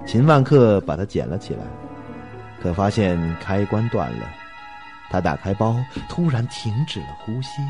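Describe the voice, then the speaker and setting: Deep-voiced, animated male narrator. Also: moderate pace, over music. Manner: suspenseful, expressive storytelling. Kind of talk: dramatic scripted narration.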